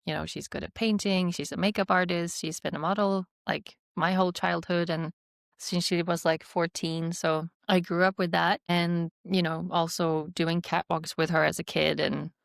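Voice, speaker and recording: A woman's voice: lowish voice, flat delivery, moderate pace, creaky, no echo, clean studio sound. A woman in her thirties with a Swedish accent, a podcast guest reflecting and rambling with fillers. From Sweden. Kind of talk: casual interview chat.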